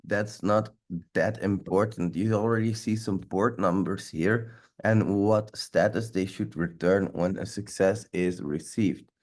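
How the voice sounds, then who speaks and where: Low-voiced man with a stuffy nose. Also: fairly even intonation, unhurried, a little mellow. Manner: loose, rambling, occasional swearing. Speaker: security instructor. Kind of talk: casual live tech walkthrough.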